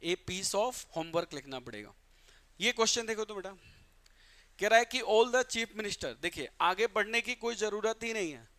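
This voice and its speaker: Bright-voiced Bihari man teaching grammar. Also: high voice, lively intonation. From Bihar, India. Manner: addresses students as "beta", asks and answers his own questions; reads English examples out slowly and deliberately. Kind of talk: live online class in Hindi.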